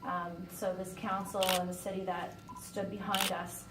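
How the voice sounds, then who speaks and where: Even-toned woman, echoey and distant. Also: mid-range voice, moderate pace, very noisy. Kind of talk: heartfelt public remarks. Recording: echoey, far from mic, loud crowd.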